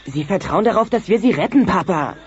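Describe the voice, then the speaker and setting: A man's voice: high voice, expressive, fairly quick. An actor playing an earnest, principled teenage dinosaur son, pleading his case in a German comedy radio play. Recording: muffled, noisy old cassette transfer.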